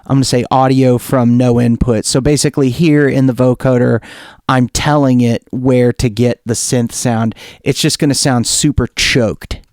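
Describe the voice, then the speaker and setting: A man giving a casual software tutorial, thinking aloud in an informal way as he demonstrates. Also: mid-range voice, fairly even intonation, normal speed, dry recording, clean studio sound. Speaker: music producer demonstrating software.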